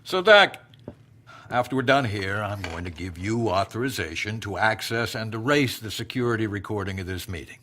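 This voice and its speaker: An actor playing a genial but evasive director of a secret facility in an audio drama, measured and slightly halting. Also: male voice, lowish voice, animated, bright, forceful tone. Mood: businesslike. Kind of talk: modern audio drama acting.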